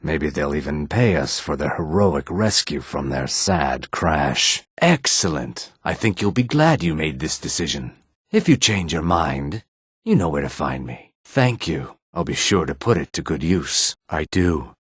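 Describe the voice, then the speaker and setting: A male actor voicing a sly, courteous lizard-man townsman in a fantasy video game, with a husky, raspy voice and measured, formal phrasing. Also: a bass voice, big pitch swings, dry recording, studio quality, heavily acted. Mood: smooth, businesslike. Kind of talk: scripted video game dialogue acting.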